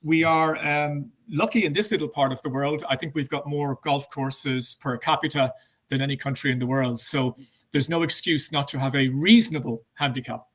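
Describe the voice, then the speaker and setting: Irish guy being interviewed, voice a bit on the high side, chatty, kinda wanders and repeats words. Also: normal speed. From Ireland. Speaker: interview guest, banking executive. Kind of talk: casual interview chat.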